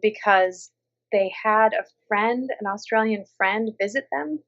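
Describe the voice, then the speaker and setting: A woman's voice: medium pitch, a little slow, muffled recording. An American woman from Minnesota, an audiobook narrator, chatting in an interview and slipping into quoted voices as she tells stories. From Minnesota, USA. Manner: animated, drops into quoted voices. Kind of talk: casual interview chat.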